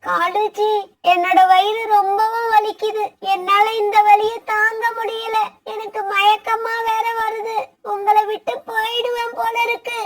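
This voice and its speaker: Brief: woman as a sad, aging mother crow, very high and plaintive, cartoon. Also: little pitch movement, unhurried. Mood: pained, pleading. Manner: plaintive, tearful pleading. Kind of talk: cartoon voice acting.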